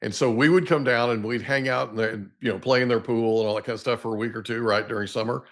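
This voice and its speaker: A middle-aged American man speaks as a podcast host at a medium pitch. His delivery is informal and folksy, rambling easily from questions into anecdotes in casual conversational language. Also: steady tone, fast talker, a bit bright. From Oklahoma, United States. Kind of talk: casual interview chat.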